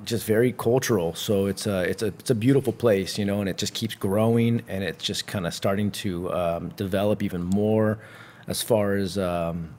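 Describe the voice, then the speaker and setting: A man answering interview questions casually, relaxed and filling his talk with "you know" and "I mean". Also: fairly low pitch, normal speed. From Santa Cruz, California. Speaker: jiu-jitsu instructor and gym owner.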